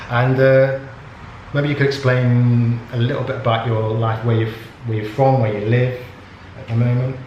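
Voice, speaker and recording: A man hosting a casual interview, talking in a relaxed and rambling way with many fillers. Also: mid-range voice, normal speed, dull audio. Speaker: host. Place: indoors at a yoga retreat. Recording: echoey room with background noise.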